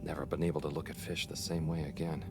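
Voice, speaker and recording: An actor voicing a gentle, anxious young man in a game, speaking softly and hesitantly. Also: extremely low pitch, little pitch movement, fast, vocal fry, over music. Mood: worried. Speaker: game character.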